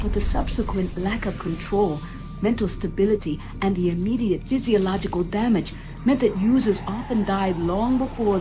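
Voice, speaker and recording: A woman playing a calm, resolute ship's artificial intelligence in a motion comic, speaking in a precise, clinical manner. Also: mid-range voice, lightly acted. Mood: clinical, explaining. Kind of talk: scripted motion comic acting.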